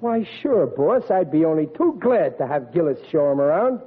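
Tinny old-radio blustering working-class New dad. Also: male voice, on the high side, big pitch swings, moderate pace, breathy edge. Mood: eager. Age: middle-aged. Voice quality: working-class, ungrammatical speech. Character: blustering, fretful working-class riveter father. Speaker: actor in the lead role. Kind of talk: 1940s radio comedy acting.

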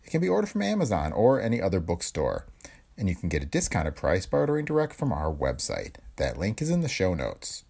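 A deep-voiced man reads a scripted podcast introduction. His voice is husky and creaky, with lively swings of intonation. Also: fairly quick. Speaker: podcast host.

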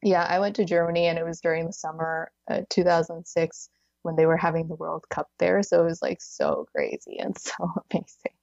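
A woman's voice: on the low side, animated, a little fast, vocal fry, darkish tone, no room sound, lo-fi sound. A woman in her thirties chatting casually as an interview guest, filling her talk with "like" and frequent little laughs. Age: 30s. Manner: chatty, many "like"s, laughs between phrases. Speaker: podcast guest.

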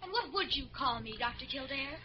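A woman's voice: sits high, expressive, fairly quick, crowd noise. A woman playing a defiant, sarcastic nineteen-year-old female drug addict in old-time radio drama. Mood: bitter, sarcastic. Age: young adult. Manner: sarcastic, hard-boiled. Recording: muffled, noisy vintage radio recording.

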